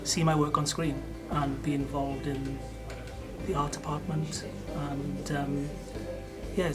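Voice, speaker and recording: Young woman, low and halting, loud music bed. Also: little pitch movement. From Wales. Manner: halting, many ums. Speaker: film apprentice. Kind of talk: interview answers. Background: loud background music.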